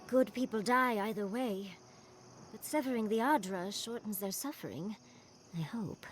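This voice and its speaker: A woman's voice: mid-range voice, normal speed, soft background music, close to an everyday voice. An actress playing a sardonic, anxious female adventuring companion in a fantasy game, with a dry manner. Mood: uneasy. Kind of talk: fantasy game cutscene acting.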